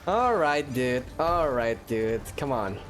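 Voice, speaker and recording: A male gamer chats through a let's play in a rambling, jokey way. His pitch moves around a lot at a middling height, with game music underneath. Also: sing-song, takes it slowly. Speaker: gaming host. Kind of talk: casual let's play commentary.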